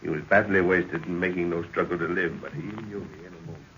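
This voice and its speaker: A man's voice: low voice, steady tone, fast, a bit bright, a little hiss or hum. An actor playing an older professor and explorer, fatherly and earnest, speaking formally in an old-time radio drama. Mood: reflective. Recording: dull vintage radio recording.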